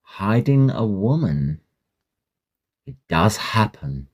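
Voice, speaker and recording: Man acting a low-voiced, breezy Victorian student gossip. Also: very animated, slow pace, darkish tone, exaggerated voice. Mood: curious, speculating. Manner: jaunty, storytelling, old-fashioned slang. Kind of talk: Victorian audio drama dialogue.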